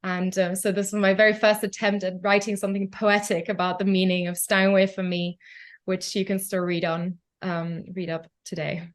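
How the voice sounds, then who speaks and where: A young woman from Germany with a German accent, a podcast guest speaking in a thoughtful, reflective way with soft laughs. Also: medium pitch, little pitch movement, moderate pace. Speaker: guest, pianist and founder. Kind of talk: podcast interview.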